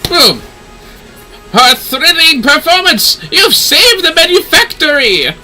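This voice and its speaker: A man's voice: very high-pitched, very animated, slow, sharp tone, faint music behind, voice pushed up, exaggerated voice. A man voicing an eloquent, effusive nobleman from a fantasy game, with florid, theatrical phrasing. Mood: rousing. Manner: florid, theatrical, formal speech. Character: flamboyant, eloquent nobleman, effusive patron of a workshop. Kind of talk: fantasy game dialogue read aloud.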